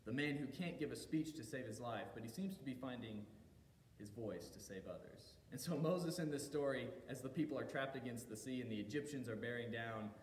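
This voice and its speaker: A male pastor preaches in a reverberant hall, his mid-pitched voice trailing long echoes. He speaks in measured, storytelling phrases, pausing deliberately and leaning on key words. A faint low rumble sits underneath. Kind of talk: sermon.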